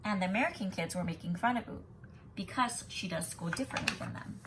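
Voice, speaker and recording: Bright-voiced woman reading aloud to children. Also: fairly low pitch, quick pace, slight background noise. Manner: instructive, emphatic storytelling. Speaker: kindergarten teacher. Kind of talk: expressive children's read-aloud with explanations.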